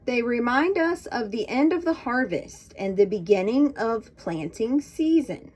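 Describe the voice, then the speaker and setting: Lady reading picture books to little kids, super slow and sing-songy, voice goes up and down a lot, asks questions like a teacher. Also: fairly high pitch, a little hiss or hum. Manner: sing-song, expressive, questioning, encouraging. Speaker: children's storytime reader and teacher. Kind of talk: interactive read-aloud to young children.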